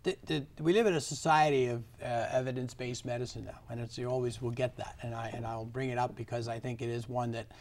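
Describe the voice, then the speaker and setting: A man hosting an interview, asking long, halting questions with many restarts. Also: mid-range voice, fairly even intonation, a little mellow, slight background noise. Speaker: talk show host. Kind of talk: interviewing a guest.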